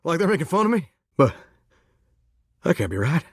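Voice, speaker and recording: An actor voicing a lovesick talking shower in a game, with a drawl and a folksy, earnest way of talking. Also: male voice, fairly high pitch, sing-song, moderate pace, breathy edge, no room sound, clean studio sound. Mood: dejected. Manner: folksy, earnest and sweetly corny. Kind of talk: video game character dialogue.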